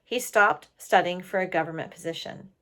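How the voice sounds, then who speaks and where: A woman's voice: on the low side, bright, forceful tone. A woman reading a storybook aloud expressively to listeners, engaging them like a teacher. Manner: pauses to question listeners. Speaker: storybook reader.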